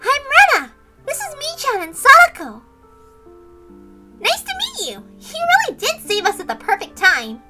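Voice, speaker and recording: A woman voicing a gentle, eager, grateful teenage girl in an amateur reading of a visual novel. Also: extremely high pitch, wildly swooping pitch, normal speed, over music, pitched above the performer's own voice, full character voice. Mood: bright, grateful.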